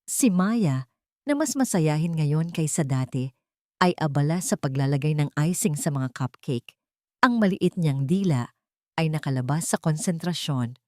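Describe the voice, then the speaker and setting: A woman narrating a scripted dramatic story in a sentimental, measured storytelling manner. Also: low voice, expressive, dark tone, no room sound, clean studio sound.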